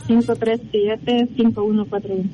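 A woman's voice: fairly high pitch, little pitch movement, normal speed, warm tone, faint music behind, rough recording. A woman, speaking briefly and casually as a caller on a live radio show. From El Salvador. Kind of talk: brief casual phone-in talk.

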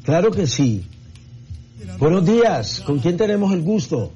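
A man's voice: a little high, sing-song, measured pace, dark tone. A man, a priest, taking calls as co-host of a live radio fundraiser. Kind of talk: live on-air talk with callers. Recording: dull lo-fi sound, faint background noise.

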